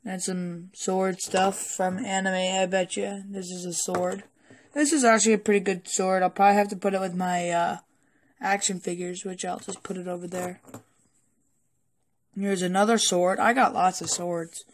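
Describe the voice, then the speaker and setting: A woman who is a little sick and hoarse, rambling casually and hesitantly through a toy collection review with some swearing, recorded on a handheld phone at a table indoors. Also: fairly low pitch, flat delivery, moderate pace, a little hiss or hum. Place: indoors at a wooden table in a home room.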